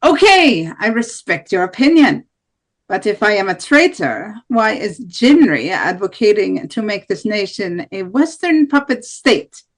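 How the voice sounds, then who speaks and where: A woman's voice: mid-range voice, very animated, unhurried. A woman playing a hardline, defiant nationalist presidential candidate in an amateur table read of a debate.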